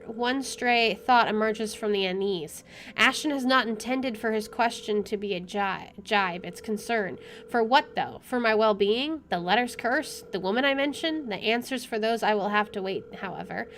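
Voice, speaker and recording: A woman with a slightly high voice plays a visual novel for viewers. She reads the story aloud expressively and has an opinionated, casual streak. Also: normal speed, fairly bright tone, a little hiss or hum. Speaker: gamer playing and reacting to a visual novel. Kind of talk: reading game narration aloud with casual commentary.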